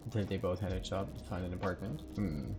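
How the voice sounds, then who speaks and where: A man's voice: deep voice, fast, over loud music. A young man, a native Hungarian speaker, chatting casually with viewers while streaming a game, full of fillers and asides. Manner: chatty, answers viewer chat, many fillers. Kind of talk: casual chat while gaming.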